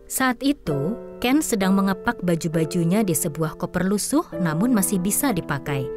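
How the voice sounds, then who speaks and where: A woman narrating an audio drama in a calm, measured storytelling voice. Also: on the low side, music in the background.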